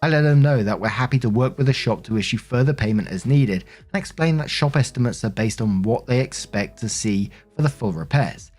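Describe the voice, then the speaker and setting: A man's voice: mid-range voice, a little fast, hardly any pauses, a little mellow, soft background music. A man narrating online stories in a plainspoken, conversational way, as a host who reads aloud and comments casually. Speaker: narrator and host of a story-reading show.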